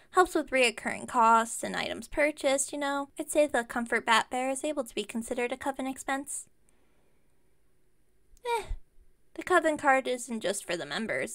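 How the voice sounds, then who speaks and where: A woman voices a bubbly young witch who runs a magic shop in an ASMR audio roleplay. Her voice is high with plenty of vocal fry. She rambles on eagerly and amiably, speaking straight to the listener as a customer. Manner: chatty, rambling, enthusiastic. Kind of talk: ASMR roleplay, one-sided conversation with listener.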